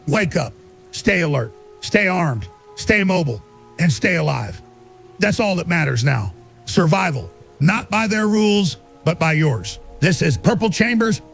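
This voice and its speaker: A defiant underground broadcaster, acted by a man in an apocalyptic radio drama. His voice sits high for a man and crackles with gravel. He hammers out urgent warnings in clipped slogans over a music bed. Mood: grim, insistent.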